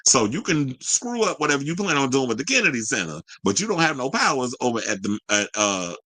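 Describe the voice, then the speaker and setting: A man's voice: mid-range voice, very animated, brisk, pushed and bright, dry recording, rough recording. A man hosting a talk show, giving casual, opinionated entertainment news commentary with slangy asides as he reads.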